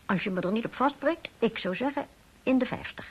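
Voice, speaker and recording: A shrewd woman phonetics expert in a radio drama. Her voice is husky and moves freely up and down as she weighs things up with fond, teasing composure. The old recording is dull. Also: on the high side, expressive, brisk. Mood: serious. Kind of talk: old radio play dialogue.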